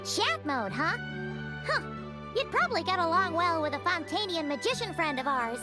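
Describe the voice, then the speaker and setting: A squeaky, bubbly little sprite. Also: female voice. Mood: teasing. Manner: bubbly, quick, excitable. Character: tiny chirpy non-human companion sprite. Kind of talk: game voice acting. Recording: game dialogue over music. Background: game score music.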